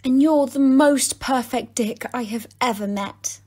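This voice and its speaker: A woman's voice: sits high, unhurried, warm tone. A woman playing a sweet, lovestruck young heroine in a radio pantomime, earnest and girlish. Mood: adoring.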